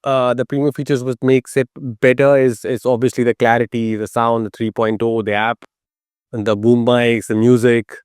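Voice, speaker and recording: A man in his thirties with an Indian accent talks through a motorcycle gear review. His voice is smooth and clean, recorded dry with no room sound. He speaks casually without a script, pausing to find words and repeating them to make a point. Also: medium pitch, level tone, darkish tone, no echo. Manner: halting, repeats words for emphasis. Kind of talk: casual unscripted product review. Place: outdoors in a garden beside a motorcycle.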